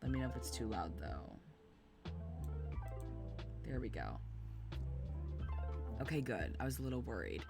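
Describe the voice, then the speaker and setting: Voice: mid-range voice, very animated, a little slow, vocal fry, long pauses. A streamer reading a bedtime story aloud, with casual, sweary, chatty asides to viewers. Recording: music playing behind the voice. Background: background music.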